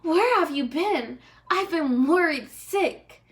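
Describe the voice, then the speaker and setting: A woman's voice: sits high, lively intonation, takes it slowly, voice pushed up, full character voice. A woman voicing a fretful storybook princess who scolds and nags in exasperation. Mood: scolding, worried. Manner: nagging, exasperated.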